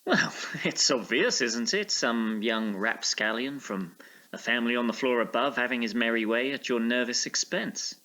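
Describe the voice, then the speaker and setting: An actor playing a mild-hearted, nervous gentleman in a Gothic audio drama, speaking in a polite, faltering, theatrical way. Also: medium pitch, normal speed, lightly acted. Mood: dismissive.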